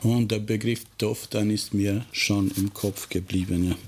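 A man whose first language is Albanian, a teacher answering interview questions in a halting way, often searching for words and restarting sentences. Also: fairly low pitch, fairly even intonation, a little mellow, a little hiss or hum. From former Yugoslavia.

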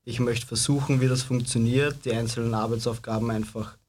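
A man's voice: mid-range voice, fairly even intonation, a little fast, a little mellow. A man in a casual panel talk, reflecting aloud and thinking things through. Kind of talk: casual discussion.

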